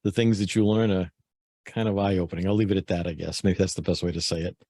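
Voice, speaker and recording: A man co-hosting a podcast, speaking in a guarded, measured way in casual chat. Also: deep voice, fairly even intonation, mellow, no echo, studio quality. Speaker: podcast co-host.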